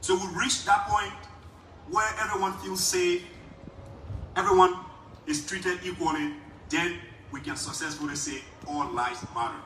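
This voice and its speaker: Liberian-accented man giving a bright rally speech. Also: high voice, steady tone, a little slow, long pauses, roomy sound, distant mic, soft background music, low-quality recording. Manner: impassioned, repetitive oratory. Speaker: rally speaker. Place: outdoor public rally.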